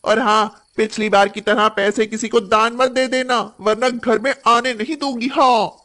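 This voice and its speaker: A high-voiced young housewife played by a woman from a moral story cartoon. She is bossy and haughty, nagging with sarcastic scorn and cruel impatience. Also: steady tone, unhurried, fairly bright tone. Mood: harsh, scolding.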